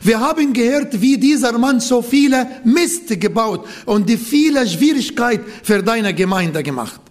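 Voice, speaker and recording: A man's voice: very high-pitched, expressive, a little slow. A man voicing a worried male disciple who pleads to be spared his task. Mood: anxious.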